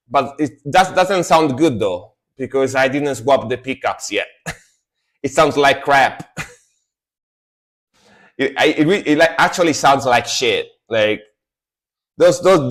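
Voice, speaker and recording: A man's voice: mid-range voice, a bit bright. Italian man chatting casually on a livestream. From Italy. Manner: rambling, repeats phrases. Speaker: livestream host.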